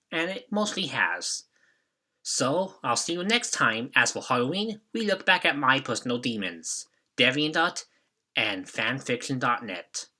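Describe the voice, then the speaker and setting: A man's voice: mid-range voice, pushed and bright. A young man hosting a scripted retrospective, giving commentary as a video reviewer.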